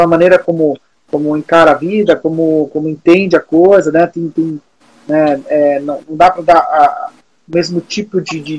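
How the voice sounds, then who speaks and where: A man in his forties with a Brazilian Portuguese accent and a husky, fairly high voice. He speaks in a reflective, unhurried way, often restarting his sentences and filling pauses as he thinks aloud during an interview. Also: poor audio. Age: 40s. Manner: thoughtful, many fillers and restarts, reflective. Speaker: music teacher and guest musician. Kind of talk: casual interview talk.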